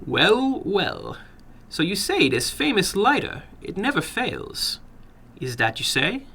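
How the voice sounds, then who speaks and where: A man voicing a funny little foreign gambler in a dramatic story, soft and toneless, slowly coaxing in courteous broken English with quiet menace. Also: high-pitched, huge pitch swings, husky, voice pushed up, full character voice. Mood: polite, probing.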